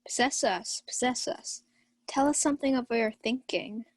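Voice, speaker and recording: A woman's voice: on the high side, moderate pace, no echo, close to an everyday voice. A young woman playing a drunken, rowdy, flippant uncle in a Shakespeare radio play. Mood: scheming, amused. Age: young adult. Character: Sir Toby Belch, drunken rowdy carousing uncle.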